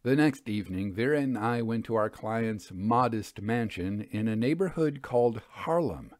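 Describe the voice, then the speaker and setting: A man's voice: lowish voice, animated, normal speed, a little mellow, no echo. A man reading scripted first-person literary narration as a storyteller.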